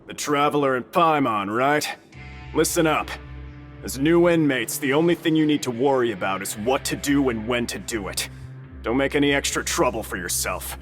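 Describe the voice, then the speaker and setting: An actor playing a brusque prison guard in a video game, giving curt, commanding orders to new inmates. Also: male voice, medium pitch, animated, normal speed, fairly bright tone, faint music behind, lightly acted. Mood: stern. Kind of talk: English video game voice acting.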